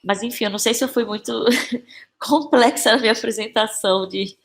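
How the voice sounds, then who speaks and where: A woman's voice: fairly high pitch, a little fast. A Brazilian woman answering as a podcast guest in a conversational, informal and reflective way, often seeking agreement with tag questions. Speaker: podcast guest, actress and playwright.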